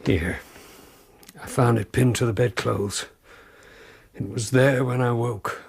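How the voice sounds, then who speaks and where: A mid-pitched male voice for a stern frontier father in a radio play. He is blunt, protective and stubbornly defiant. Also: fairly even intonation, slow pace, vocal fry, darkish tone, husky, heavily acted. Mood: subdued. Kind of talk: radio drama acting.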